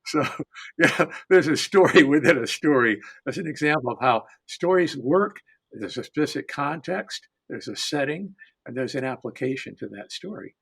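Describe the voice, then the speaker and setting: Husky-voiced male storyteller. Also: fairly high pitch, lively intonation, moderate pace, a bit bright. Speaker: panelist and storyteller. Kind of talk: oral storytelling and panel discussion.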